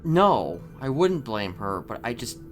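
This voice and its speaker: A man in an improvised in-character roleplay conversation, talking haltingly and often restarting his phrases. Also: medium pitch, big pitch swings, soft background music. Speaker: roleplay game player.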